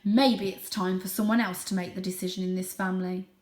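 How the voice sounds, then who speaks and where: A woman voicing a domineering father cat in a storybook, dismissive and quick to anger, speaking with forceful emphasis. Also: on the low side, fairly even intonation, echoey room, lightly acted. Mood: angry, menacing.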